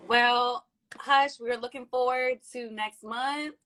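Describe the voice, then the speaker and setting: A woman's voice: a little high, fairly even intonation, slow, a bit bright. A young woman hosting a casual interview over a video call from a room at home, upbeat, chatty and playful.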